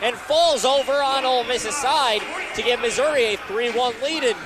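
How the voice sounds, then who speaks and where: A man's voice: very high-pitched, moderate pace. A young man calling live volleyball play-by-play as a radio announcer.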